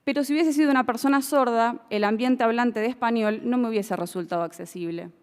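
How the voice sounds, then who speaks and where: A woman's voice: on the high side, fairly quick, clean tone, big-room sound. A woman gives a formal explanatory presentation, lecturing with many "eh" hesitations and checking in with the audience. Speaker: expert speaker presenting a bill.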